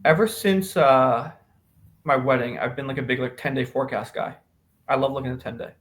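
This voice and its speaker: A man's voice: mid-range voice, fairly quick, a bit bright. A man with an American accent chatting casually with a friend as co-host of a podcast. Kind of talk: casual banter between friends.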